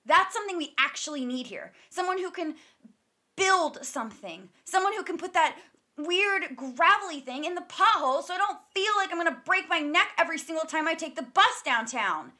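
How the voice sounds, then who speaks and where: Woman acting female massage therapist, prickly, sardonic, bright forceful tone, naturalistic audio drama. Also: extremely high pitch, animated, moderate pace, exaggerated voice. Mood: irritated, sarcastic. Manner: clipped deflections, sarcastic when riled.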